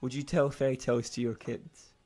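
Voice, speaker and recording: A man's voice: medium pitch, flat delivery, a little fast, darkish tone, breathy edge, faint music behind. A man hosting a casual group discussion, talking in a chummy, playful and teasing way. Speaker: radio talk show host.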